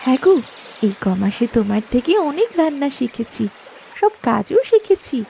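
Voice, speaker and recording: Woman playing a kind young wife in a cartoon, really high lively voice, always coaxing, bit of noise. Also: moderate pace, gravelly, full character voice. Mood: gentle. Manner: pleading, coaxing. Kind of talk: Bengali cartoon story voice acting.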